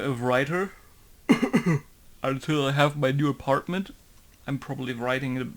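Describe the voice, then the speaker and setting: A man's voice: mid-range voice, a bit bright. A German man, a game modder, rambling casually and hesitantly with many fillers while testing voice lines.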